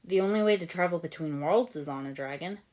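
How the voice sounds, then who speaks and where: A woman's voice: on the low side, a little fast, darkish tone, close to an everyday voice. A woman voicing an easygoing, practical teenage male ninja in an audiobook story. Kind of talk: fan fiction audiobook character voice.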